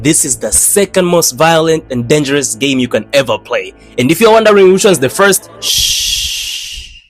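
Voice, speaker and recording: A young man from Tanzania, telling funny childhood stories in a slangy, animated way. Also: mid-range voice, husky. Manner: animated, slangy, playful delivery with dramatic swings. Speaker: animator narrating his own channel. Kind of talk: comedic storytime narration.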